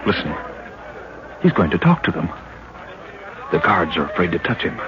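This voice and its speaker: A dutiful young adventurer talks briskly and respectfully in a lowish voice. Steady hiss sits over a dull old radio recording. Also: male voice. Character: loyal young male adventurer, dutiful aide. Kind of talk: 1930s radio drama acting.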